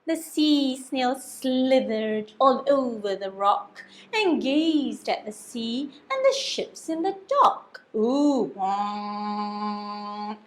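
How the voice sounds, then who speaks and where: A woman with a high, lively voice reads a story to young children. She takes it very slowly, in an expressive sing-song, and pauses to coax them with simple questions, as a teacher does.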